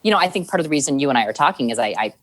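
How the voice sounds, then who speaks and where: Woman, 40s, news anchor guest; low pitch, animated, chatty storyteller. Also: rapid-fire. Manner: lively storytelling with acted-out quotes, frequent laughs. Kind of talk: casual interview conversation.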